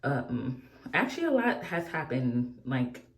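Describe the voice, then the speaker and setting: A woman's voice: rumbling low, big pitch swings, measured pace. A woman in her forties chatting candidly while doing her makeup, rambling and laughing often. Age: 40s. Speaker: beauty vlogger. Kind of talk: casual get-ready-with-me chat. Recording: echoey room.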